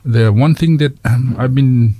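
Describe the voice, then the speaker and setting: A man with a Fijian accent hosting a community radio chat, halting with many fillers and slipping into Fijian phrases. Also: mid-range voice, dark tone, husky, no room sound. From Fiji. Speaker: radio host.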